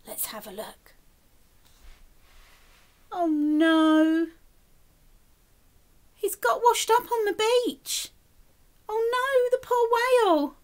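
A woman with a high, very lively voice reads a picture book for small children. She takes her time and exaggerates her expression like a teacher at storytime, encouraging the listeners. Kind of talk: animated children's storytime reading with questions to the listeners. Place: small indoor room, plain wall.

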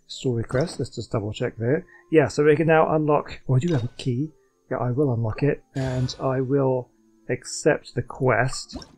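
A man's voice: medium pitch, moderate pace, a little mellow, slight background noise, rough recording. A man hosting a gaming video with casual, chatty commentary and dry humour. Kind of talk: casual let's play commentary.

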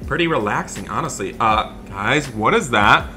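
A man narrates roleplay gameplay as a video host, in a bright, forward voice at a middling pitch. He is animated and exclamatory, addressing viewers directly. Music plays behind him. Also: normal speed. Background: background music.

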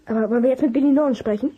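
A woman playing a sceptical, inquisitive young amateur sleuth in a German audio drama, opinionated and husky. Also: sits high, muffled recording. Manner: opinionated, argumentative.

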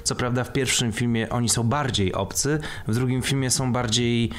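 Man, mid-pitched voice, casual film discussion, co-host. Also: fairly even intonation, normal speed.

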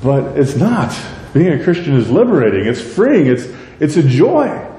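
A deep-voiced middle-aged pastor preaching with warm, mellow tone and a lively, rising-and-falling delivery, full of rhetorical questions and jokes at his own expense. He is recorded from some distance in a reverberant church sanctuary, with a faint low rumble underneath. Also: moderate pace. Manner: conversational, self-deprecating humor, many rhetorical questions. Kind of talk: sermon. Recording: echoey church hall, light rumble.

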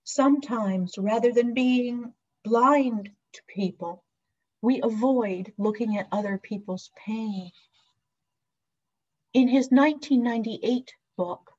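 Slow, measured woman deacon preaching. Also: medium pitch, a little mellow. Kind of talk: sermon. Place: home video call with virtual scenic backdrop. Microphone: webcam microphone on a video call. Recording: dull lo-fi video call audio.

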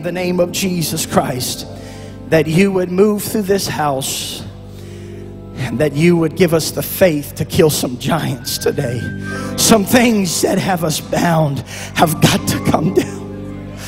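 A middle-aged man preaching on a church stage into a handheld mic, with a shouting, half-sung preacher cadence that drops to quiet prayer. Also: high-pitched, measured pace. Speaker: pastor. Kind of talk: Pentecostal sermon and prayer. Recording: long church reverb, music behind.

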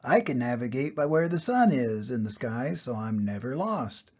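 A busy, cheerful dung beetle from a children's storybook explains his work in earnest, as a grandfather puts him on. The voice is gravelly and husky, with lively rises and falls. The recording sounds a little dull and lo-fi. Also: medium pitch, normal speed.

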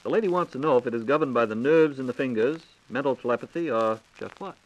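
A man's voice: mid-range voice, fast, lo-fi sound. An American man hosting a scripted 1940s radio program in a polished, courteous announcer style. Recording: 1940s radio transcription disc.

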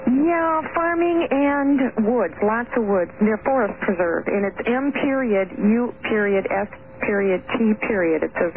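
A woman from Illinois calling into a radio show by telephone. She talks eagerly and spells things out letter by letter. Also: mid-range voice. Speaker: caller. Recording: narrow, tinny phone line.

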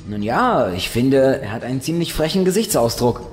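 A man's voice: medium pitch, big pitch swings, moderate pace, lightly acted. A man playing a bold, protective young swordsman with a wry streak in a fantasy audio drama. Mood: wry, calm. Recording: long cave-like reverb, loud music.

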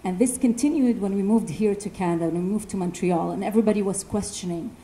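A woman from Lebanon speaking earnestly and in a measured way as a panelist, sharing her own story in a university classroom. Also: mid-range voice, mellow, slight background noise, poor audio. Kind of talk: personal testimony talk.

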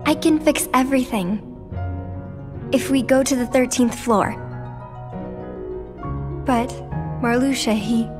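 A woman playing a timid, sorrowful, gentle teenage girl in a game cutscene, soft and hesitant. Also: high voice, takes it slowly, pauses often, big-room sound, music in the background. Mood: hesitant, sad. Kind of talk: animated game cutscene acting.

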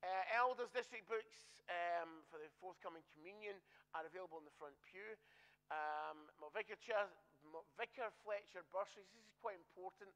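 A man's voice: high-pitched, normal speed, pushed and bright, rough recording. A man, a minister, giving the church notices in a chatty, joking way and correcting himself as he goes.

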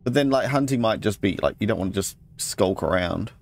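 A man's voice: lowish voice, expressive, brisk, creaky. A man hosting a let's play, casually thinking aloud and reading game text as he goes. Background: game music.

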